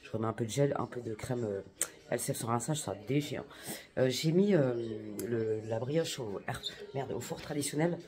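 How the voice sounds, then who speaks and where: A woman's voice: extremely low pitch, moderate pace. Young woman vlogger, chatty and slangy, exclamatory, with crowd chatter in the background. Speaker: lifestyle vlogger. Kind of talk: casual vlog chat.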